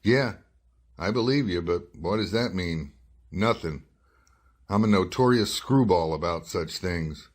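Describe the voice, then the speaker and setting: An actor playing a dogged newspaper reporter in a radio detective drama, probing and wry in a hard-boiled way. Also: male voice, deep voice, lively intonation, a little slow. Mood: reassuring, wry. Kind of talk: radio detective drama acting and first-person narration.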